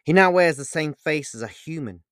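A man's voice: fairly high pitch, expressive, normal speed, fairly bright tone, no echo, studio quality, voice pushed up. A man voicing an ancient god in a dramatic fantasy story, sardonic and scornful, speaking in a theatrical, declamatory way. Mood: bitter, derisive. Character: wild-eyed ancient male god Pan, sardonic, bitter and scornful.

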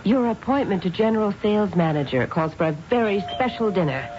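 An actress playing an affectionate, brisk, solicitous wife in an old-time radio drama. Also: female voice, mid-range voice, lively intonation, background noise, natural voice. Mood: bright, affectionate. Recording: dull old radio recording, music behind.

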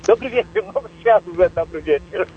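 A man calling into a radio show, talking haltingly and searching for words. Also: high voice, animated, a little hiss or hum. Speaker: caller. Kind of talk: phone-in call. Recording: dull lo-fi phone call.

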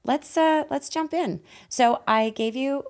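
A woman with a raspy, hoarse voice hosting a conversational podcast in a playful, teasing manner. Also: sits high, slow. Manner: playful, teasing, laughs often. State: voice hoarse after losing it. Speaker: host and guide.

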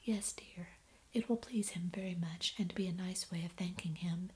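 A woman's voice: fairly low pitch, moderate pace, close to an everyday voice. A woman voicing a kind, loving middle-aged mother in a whispered storybook reading. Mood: tender.

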